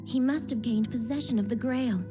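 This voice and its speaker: A woman's voice: a little high, normal speed, lightly acted. A woman playing a self-assured, analytical teenage girl in an anime dub, reasoning things out assertively. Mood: analytical, suspicious. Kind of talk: anime-style dramatic dub acting. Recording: music behind the voice.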